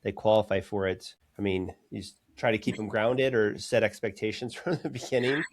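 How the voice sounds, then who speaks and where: A man's voice: fairly low pitch, fairly even intonation, a little fast. A man hosting a business podcast interview, friendly and casual, often restarting his questions. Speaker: podcast host.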